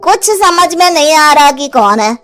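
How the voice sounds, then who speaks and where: A puzzled village gossip, acted by a woman in a storybook tale. The voice is high, concerned and conversational, with faint music behind. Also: expressive, measured pace, fairly bright tone.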